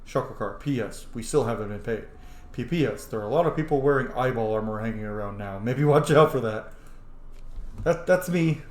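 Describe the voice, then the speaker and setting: A man's voice: mid-range voice, a little fast. A man commenting casually on a roleplaying game, chatty and opinionated, reading text aloud and reacting to it. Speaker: video game streamer and roleplayer. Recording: roomy sound with faint background noise.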